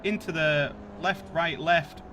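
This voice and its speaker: A man with a Yorkshire accent calls a sim race with a slightly raised pitch, joking as he goes. There is clear background noise behind his voice. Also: slow pace. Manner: excitable, laughs and shouts at crashes. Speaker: race commentator. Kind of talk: sim racing commentary.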